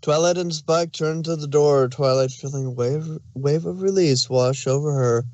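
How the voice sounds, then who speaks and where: Higher-pitched man narrating a story. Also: normal speed, darkish tone, highs cut off.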